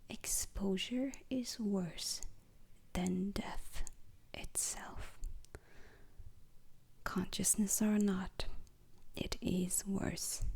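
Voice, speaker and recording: A woman plays an alien instructor briefing space recruits in an ASMR roleplay. The delivery is a breathy, husky whisper with some crackle in it. Every phrase comes slowly and deliberately, solemn and strict like a lesson. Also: mid-range voice, darkish tone, exaggerated voice.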